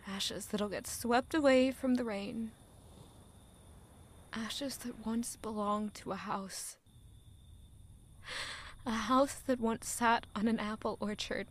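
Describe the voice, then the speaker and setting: A woman's voice: fairly high pitch, breathy edge, full character voice. A woman playing an anguished, weary young village girl in a dramatic, emotional audio drama monologue. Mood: grieving, quiet. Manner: emotional, slow, deliberate delivery with rhetorical questions.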